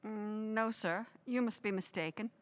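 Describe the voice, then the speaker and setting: A guarded, polite wife in an old-time radio play, acted by a woman. She is curt and reserved, in a medium-pitched voice with no room echo. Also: normal speed, lo-fi sound, close to an everyday voice.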